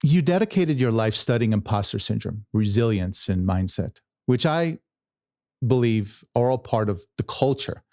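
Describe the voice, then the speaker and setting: A man's voice: fairly low pitch, expressive, normal speed, darkish tone, dry recording, clean studio sound. A man hosting a podcast, who was born in Israel and grew up in New York, asking earnest leading questions and often checking in with "right?". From born in Israel, raised in New York. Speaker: podcast host. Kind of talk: interview hosting.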